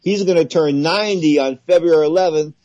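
A man's voice: on the high side, fairly quick, warm tone, no echo. Effusive man in his sixties hosting radio. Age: 60s. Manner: effusive and sentimental, repeats phrases. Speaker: radio talk show host. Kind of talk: boxing radio interview.

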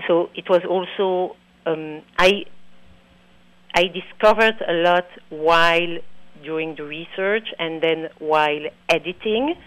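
A woman's voice: on the low side, fairly bright tone, dry recording, low-quality recording. Belgian-accented woman in a dry studio sound. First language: French. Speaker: interview guest, documentary filmmaker. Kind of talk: radio interview.

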